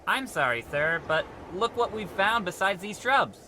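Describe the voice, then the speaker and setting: A long-suffering junior spaceman in a radio comedy, with a very bright, sharp voice and swooping intonation. He is earnest, hesitant and deferential, over faint background noise. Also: sits high, animated, normal speed. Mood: nervous, deferential. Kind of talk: old-time radio comedy acting.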